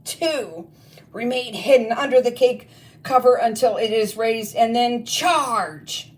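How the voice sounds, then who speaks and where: A woman's voice: a little high, slow pace, pitched above the performer's own voice. A woman voicing a tyrannical witch headmistress who bellows and shrieks her orders, in a storybook reading. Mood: commanding.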